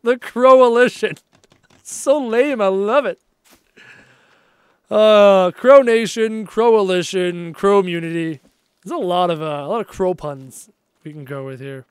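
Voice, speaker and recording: A man with a high voice chats casually through a live game stream, talking loosely and getting excited over viewer messages. His voice is wearing out and his energy is flagging. The sound is dry and close, at a home desk. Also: a little slow, smooth, dry recording. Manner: chatty, reads out viewer messages, easily excited. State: losing his voice, low on energy.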